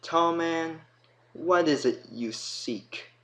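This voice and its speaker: A man voicing a small, curious, earnest creature in a storybook tale, calling out loudly. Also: a little high, very slow, voice pushed up. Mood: bold.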